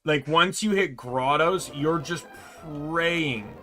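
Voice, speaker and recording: A man's voice: fairly high pitch, slow, pushed and bright, soft background music. A man giving casual, rambling, explanatory commentary while streaming a video game.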